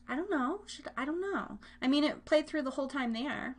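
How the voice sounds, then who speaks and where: High-voiced woman hosting a casual live show. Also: lively intonation, normal speed. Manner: chatty, informal, slangy, addresses listeners directly. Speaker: host of a live online radio show. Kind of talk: casual, interactive live-stream chat and game hosting.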